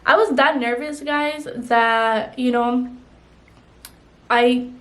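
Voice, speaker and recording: A woman's voice: a little high, fairly even intonation, takes it slowly, a bit bright. A young woman vlogger chatting casually while doing her makeup, rambling with lots of "like" and "you know". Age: young adult. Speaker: beauty vlogger.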